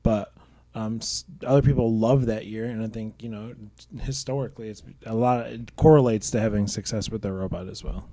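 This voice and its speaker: A man hosting a show, talking casually and relaxed. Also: fairly low pitch, a little fast, hardly any pauses, no room sound. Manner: relaxed, easygoing, with fillers. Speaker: show host. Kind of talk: casual podcast talk.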